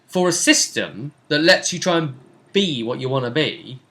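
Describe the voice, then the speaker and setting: A man's voice: a little high, animated, normal speed, fairly bright tone. A man hosting as game master in a casual chat, conversational and often seeking agreement.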